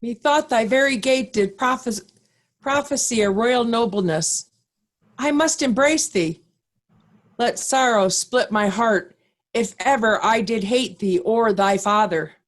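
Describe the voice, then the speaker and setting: Woman playing commanding shakespeare nobleman, righteous, really slow n declamatory, highish voice. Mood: earnest, moved. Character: righteous, commanding nobleman confronting a wicked wife. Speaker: amateur actor in a reader's theatre. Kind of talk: Shakespearean stage reading.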